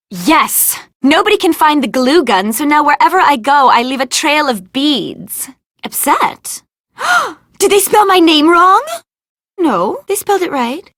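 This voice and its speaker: A woman's voice: sits high, fairly bright tone, no echo, studio quality, exaggerated voice. A woman voicing a vain, self-absorbed young film starlet in a video game, whiny, haughty and melodramatic. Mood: exasperated. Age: young adult.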